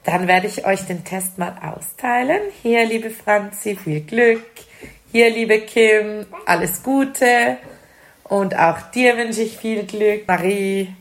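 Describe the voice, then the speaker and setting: A woman's voice: medium pitch, takes it slowly. A woman with a Swiss German accent playing a kindly primary school teacher in an amateur radio play, speaking simply to children. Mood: kindly, encouraging.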